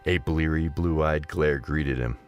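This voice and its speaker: A man's voice: extremely low pitch, slow, faint music behind. A man narrating a scripted story as the narrator of an audio drama.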